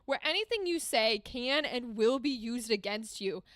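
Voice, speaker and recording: A woman reading the lines of a dry, wry young woman in the passenger seat, in a calm, lower voice. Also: normal speed, no echo.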